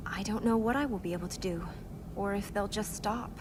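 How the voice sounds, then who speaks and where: Earnest young princess voiced by an actress, noisy background. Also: female voice, medium pitch, close to an everyday voice. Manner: serious, measured, idealistic. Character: earnest, thoughtful young princess with magic powers. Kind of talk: video game dialogue. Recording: clear background noise.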